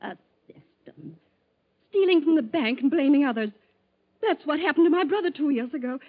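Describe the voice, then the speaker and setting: An actress playing a suspicious, principled fiancée in a 1940s radio drama. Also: female voice, sits high, expressive, normal speed. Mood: accusing. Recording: tinny, band-limited 1940s radio recording.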